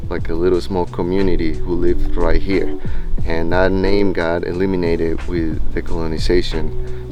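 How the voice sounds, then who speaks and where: A Colombian man speaking English with a Spanish accent, telling his story in a relaxed, rambling way with many fillers. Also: low-pitched. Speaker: climber and park co-founder. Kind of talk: casual interview talk. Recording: guitar music underneath.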